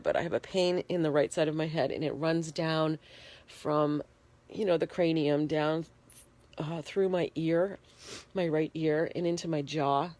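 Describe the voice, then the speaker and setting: A woman with a low, dry-sounding voice speaks frankly into a phone she holds in her hand, in her bedroom. She is congested and shivering as she talks, and she stops often with fillers. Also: a little mellow, no room sound. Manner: plain-spoken, frank, halting with fillers. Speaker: vlogger. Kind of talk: casual personal video diary. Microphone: phone held in hand.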